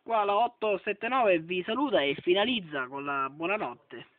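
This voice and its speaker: A man's voice: high-pitched, expressive, moderate pace. A man chatting as a radio operator, using call signs and on-air procedure. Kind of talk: radio contact chat with call-sign sign-offs. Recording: tinny phone-like sound, loud radio noise.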